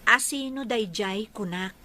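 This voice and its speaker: A woman's voice: mid-range voice, measured pace, pushed and bright, slight background noise. A woman playing a fretful, suspicious young girlfriend in a radio drama. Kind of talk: Ilocano radio drama acting.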